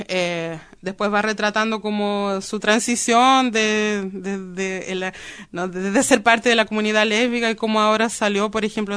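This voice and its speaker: A woman's voice: mid-range voice, a bit bright, clear, resonant tone. A woman speaking as a guest in a casual interview, informal and colloquial, often repeating words for emphasis.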